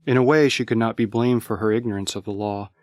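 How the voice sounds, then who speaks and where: A man's voice: fairly low pitch, steady tone, fast, no echo, clean studio sound. A man reading scripted story narration.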